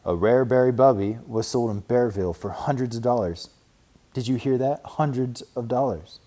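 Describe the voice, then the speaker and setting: A man's voice: medium pitch, normal speed, mellow, pitched above the performer's own voice, natural voice. A man reading a children's storybook, voicing a blustery, bemused father bear who stresses key words emphatically. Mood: intrigued, emphatic. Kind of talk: children's storybook read aloud.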